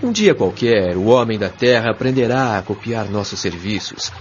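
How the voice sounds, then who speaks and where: Man acting a kindly Brazilian mentor, expressive intonation. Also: on the low side, close to an everyday voice. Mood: solemn, instructive. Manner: measured, didactic, carefully enunciated. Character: Lísias, kindly patient male spirit guide and mentor. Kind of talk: audio drama acting, explanatory. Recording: dull lo-fi audio, music behind.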